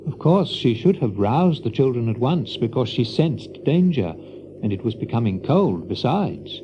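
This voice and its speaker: Male narrator, lively swooping intonation, medium pitch, storybook style, music behind, slightly dull recording. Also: normal speed, noisy background.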